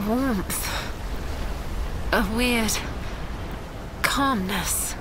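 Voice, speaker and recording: A woman acting as a desperate sailor and parent, narrating a storm at sea in intense, halting fragments for an audio drama. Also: mid-range voice, background noise. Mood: dazed, hushed. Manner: intense, breathy, halting delivery in short fragments. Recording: dramatic music under the voice.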